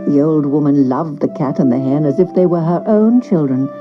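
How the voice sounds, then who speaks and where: Lady reading a kids story, really expressive, bit muffled, music under it. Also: low-pitched, moderate pace. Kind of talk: expressive scripted children's story narration.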